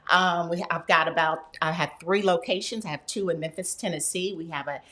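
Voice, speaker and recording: A woman's voice: fairly low pitch, a little fast, bright, forceful tone. A woman introducing herself as a panelist, talking informally in a storytelling way. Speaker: panelist, holistic health practitioner. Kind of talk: conversational self-introduction. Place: inside a wellness center.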